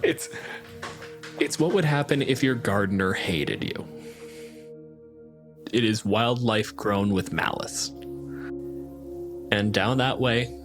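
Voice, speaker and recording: Slow-talking man with wry scene descriptions. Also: on the low side, music in the background. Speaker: game master. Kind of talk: describing a scene in a tabletop game.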